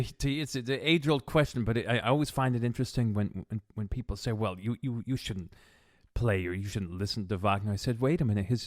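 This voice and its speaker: A man's voice: medium pitch, no room sound, clean studio sound. A man hosting a podcast interview, asking questions with many hesitations and fillers. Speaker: podcast host. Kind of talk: interview conversation.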